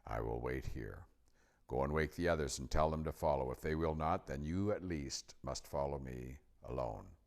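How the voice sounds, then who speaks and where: Storybook great lion, played by a male reader: very deep, measured, solemn, tender but commanding. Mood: stately, commanding.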